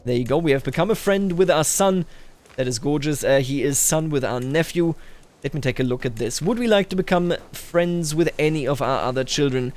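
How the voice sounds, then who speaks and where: Higher-voiced man narrating a strategy game. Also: a little fast, a little mellow. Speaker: gaming video host. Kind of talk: unscripted roleplay commentary over a strategy game. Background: music behind the voice.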